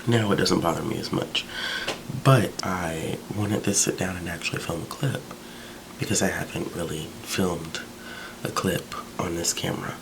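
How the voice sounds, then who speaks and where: A man with a deep voice, reviewing books in a chatty, relaxed vlog full of small fillers. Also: moderate pace, vocal fry, background noise. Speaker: book vlogger. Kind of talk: casual book review vlog.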